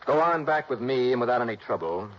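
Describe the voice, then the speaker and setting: An actor in a vintage radio drama playing a tough male investigator, calm, clipped and dry. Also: medium pitch, fast, natural voice. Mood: steady. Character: tough, cool-headed male private investigator. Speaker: insurance investigator lead. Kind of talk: 1960s radio drama acting and narration. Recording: dull vintage radio broadcast, faint hiss.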